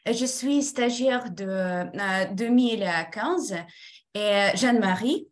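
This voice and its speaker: Slow, higher-pitched Ukrainian woman. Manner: halting, careful non-native French. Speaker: former trainee giving a testimonial.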